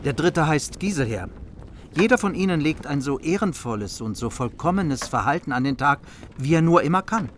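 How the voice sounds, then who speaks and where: An actor playing a noble, loyal knightly envoy in a radio drama, speaking formally and earnestly. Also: male voice, on the high side, animated, moderate pace, a little hiss or hum, lightly acted. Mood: admiring. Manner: formal, solemn.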